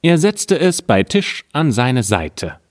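A man reading an old fairy tale aloud in a calm, measured storytelling manner. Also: mid-range voice, no room sound, studio quality.

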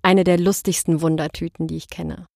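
A woman speaking as an interview guest in a candid, colloquial and expressive way, telling personal stories casually. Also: fairly low pitch, brisk, creaky, no room sound, clean studio sound.

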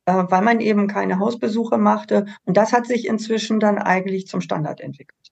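A woman's voice: mid-range voice, steady tone, a little fast, creaky, a little mellow, husky, dull audio. A woman speaking for an association on a panel, explaining things earnestly and at length. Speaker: association representative. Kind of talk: panel discussion.

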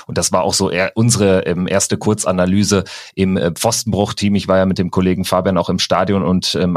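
Young male guest, very deep voice, dry close sound, thoughtful halting speech. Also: no room sound. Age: 20s. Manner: hesitant, reflective. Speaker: guest, fellow podcaster. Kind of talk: casual football podcast chat.

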